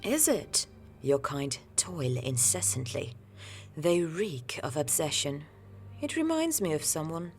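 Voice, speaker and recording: Scornful dark elf warrior sister played by a woman, low-pitched, imperious and cutting, for audio drama. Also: very animated, takes it slowly, exaggerated voice. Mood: mocking, suspicious. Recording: music under the voice. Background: music.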